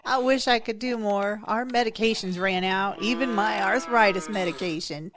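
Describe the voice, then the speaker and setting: A woman's voice: medium pitch, a little slow, a bit bright, natural voice. A woman playing a kindly, apologetic older woman who fusses over a patient in an audio drama. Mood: kindly, fussing. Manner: caring, fussing.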